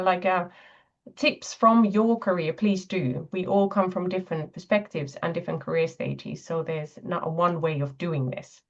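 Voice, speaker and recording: A woman's voice: fairly low pitch, muffled recording. A woman from Finland, not a native English speaker, chairing an online webinar with some hesitant fillers. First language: Finnish. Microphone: video call.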